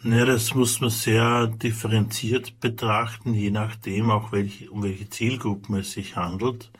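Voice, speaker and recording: A man with an Austrian accent, speaking for an aid organization, explaining rules in a measured way with frequent hesitations. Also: lowish voice, flat delivery, breathy edge. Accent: Austrian German. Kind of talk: radio interview answers.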